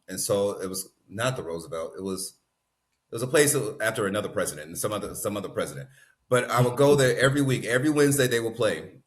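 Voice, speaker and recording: A young adult man with a bass voice, a guest telling stories in a casual interview, animated and laughing often. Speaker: guest, actor and musician.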